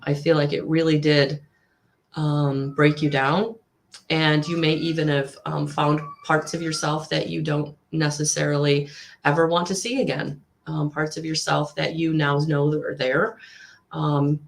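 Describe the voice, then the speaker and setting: A woman giving a live tarot reading to viewers, with a husky voice, slightly hoarse after a recent illness, speaking in a soothing, repetitive way with frequent ums. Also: low-pitched. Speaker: tarot reader.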